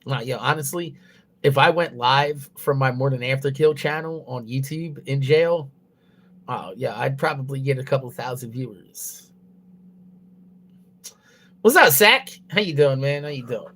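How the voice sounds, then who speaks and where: A man's voice: mid-range voice, unhurried, fairly bright tone. Young male streamer talking loosely into a phone, profane and rambling, laughs at his own jokes. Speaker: livestreamer. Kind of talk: casual profane stream chatter. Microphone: mobile phone.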